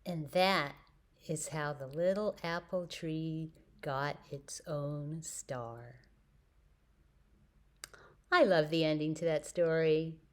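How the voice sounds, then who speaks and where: A woman's voice: deep voice, slow, long pauses. A woman presenting a children's story time, telling stories and rhymes and speaking directly to young listeners with simple prompts.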